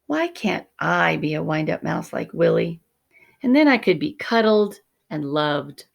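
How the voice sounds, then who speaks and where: A woman voicing a small, earnest male mouse who is yearning and excitable, in a storybook reading. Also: low voice, a little slow, vocal fry, full character voice. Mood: wistful.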